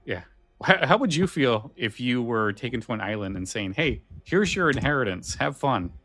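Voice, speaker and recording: A man's voice: mid-range voice, lively intonation, normal speed, fairly bright tone. A man co-hosting a podcast in a casual, musing, informal way. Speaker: podcast co-host. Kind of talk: casual chat.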